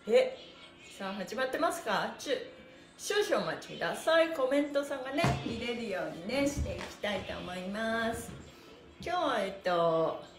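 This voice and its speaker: Cheerful high-voiced woman leading exercise. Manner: cheerful, energetic, encouraging, sing-song. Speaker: stretching instructor and livestream host. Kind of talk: exercise class livestream, chatty instruction with counting chants. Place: small bare-walled indoor studio room. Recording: roomy echo, mic a little away.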